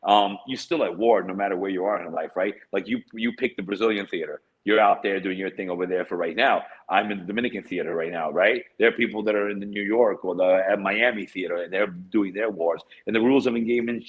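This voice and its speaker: A fast-talking man with a husky, low voice, chatting casually as a guest on a video call. He rambles and keeps checking in with "right?" as he talks. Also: poor audio. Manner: rambling, asks "right?" often. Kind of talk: casual chat.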